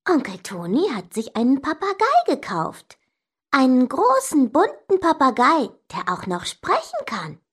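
A woman's voice: sits high, huge pitch swings, measured pace, breathy edge, pitched above the performer's own voice, heavily acted. A woman voicing a sly, teasing older sister in a children's story, insistent and emphatic. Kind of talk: children's story character dialogue.

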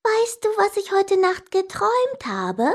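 A woman's voice: very high voice, wildly swooping pitch, measured pace, pitched above the performer's own voice, full character voice. A woman voicing a sly, teasing older sister in a children's story, insistent and emphatic. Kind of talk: children's story character dialogue.

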